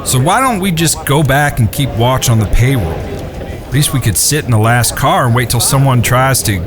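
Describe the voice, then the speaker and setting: A man playing a blunt outlaw kid brother, mid-pitched. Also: animated, moderate pace, over music, natural voice. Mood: practical, restless. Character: blunt, trigger-happy youngest outlaw brother, practical. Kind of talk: audio drama acting.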